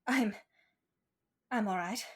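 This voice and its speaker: A woman's voice: medium pitch, takes it slowly, husky. A woman playing a distressed, pleading young femme fatale in a 1940s-style radio play.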